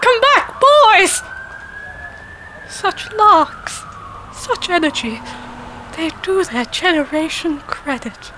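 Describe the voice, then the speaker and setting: A woman playing a pompous, jolly, oblivious older British gentleman, a former sportsmaster, with theatrical old-fashioned bluster in a comedy sketch. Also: big pitch swings, slow, long pauses, noisy background, heavily acted. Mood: gleeful, manic. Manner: booming bluster, old-fashioned posh exclamations.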